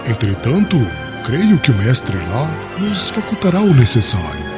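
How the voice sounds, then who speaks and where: Man acting as fervent, animated apostle preacher. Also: mid-range voice, measured pace, over music. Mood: confident. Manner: earnest, emphatic, oratorical. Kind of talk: dramatized audiobook acting.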